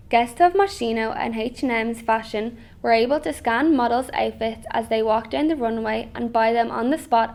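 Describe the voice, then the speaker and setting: A woman presenting a scripted educational piece, read aloud in a formal, measured way. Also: a little high, vocal fry, a little mellow.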